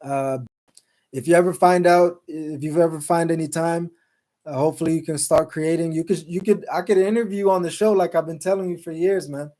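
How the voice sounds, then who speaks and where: A man with a fairly high but mellow, warm voice hosts a casual live stream. He rambles easily through his points, filling pauses with ums and uhs and restarting sentences. He drops Islamic phrases in between as he reads viewer comments aloud. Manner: relaxed, rambling with many fillers and restarts. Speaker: show host. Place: Salt Lake City, Utah.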